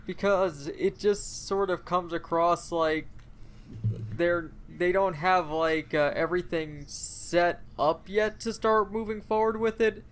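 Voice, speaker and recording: A man co-hosting a casual podcast, giving his opinions in a measured, analytical way. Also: high voice, steady tone. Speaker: podcast co-host. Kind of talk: casual panel discussion.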